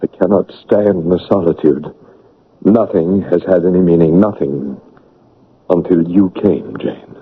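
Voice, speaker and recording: An actor playing an anguished, brooding English gentleman with a dark secret in a classic radio drama, husky, pleading and impassioned. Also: low-pitched, a little slow, exaggerated voice. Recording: narrow, tinny old radio broadcast.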